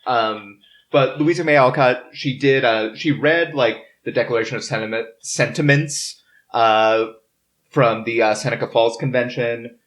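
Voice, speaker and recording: Slow, halting podcast host. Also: medium pitch, fairly bright tone. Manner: halting, frequent hesitations. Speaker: podcast host presenting research. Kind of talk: casual history discussion among friends. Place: in person around a table.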